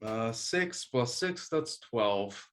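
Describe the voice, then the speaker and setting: A man speaking casually and haltingly as a player in a tabletop game, working through his moves. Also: mid-range voice, expressive, fairly bright tone.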